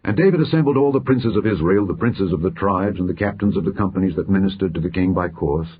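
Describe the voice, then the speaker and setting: A man reads scripture aloud in a solemn, measured, formal manner, letting his phrases fall at the end. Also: low voice, phone-quality sound, slight background noise. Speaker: scripture reader.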